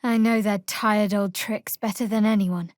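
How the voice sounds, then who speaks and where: A woman's voice: medium pitch, fairly even intonation, unhurried, dry recording, natural voice. An actress playing a guarded, sardonic young female cleric in a fantasy video game, speaking in a dry, clipped manner. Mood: wary, resolute.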